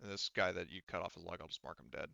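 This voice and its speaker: A man talking casually as game master of a tabletop role-playing game, explaining rules haltingly as he checks them, in slightly dull voice-chat audio. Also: deep voice, gravelly, sharp tone, no room sound.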